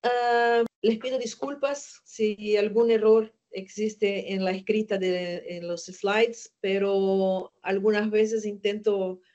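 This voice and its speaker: A woman's voice: mid-range voice, steady tone, slow pace, few pauses, darkish tone. Brazilian woman doctor, non-native speaker, earnest emphatic lecture, slightly muffled sound. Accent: Brazilian Portuguese. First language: Portuguese. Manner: earnest, emphatic, asks and answers own questions. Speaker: gynecologist lecturer. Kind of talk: webinar lecture.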